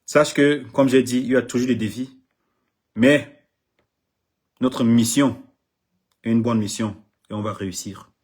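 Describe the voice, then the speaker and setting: A man's voice: mid-range voice, fairly even intonation, a little slow, long pauses, darkish tone. A middle-aged man from Cameroon, an artist manager whose first language is English, speaking French in an impassioned public address, emphatic and repeating himself, often stumbling over his words.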